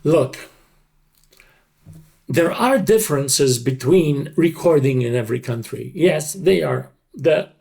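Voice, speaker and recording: A man's voice: fairly high pitch, lively intonation, takes it slowly, a little mellow. An older man with a tired, untrained voice, explaining his craft emphatically in an interview and repeating words for stress. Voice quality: creaky, untrained, slightly tired voice. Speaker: dubbing director.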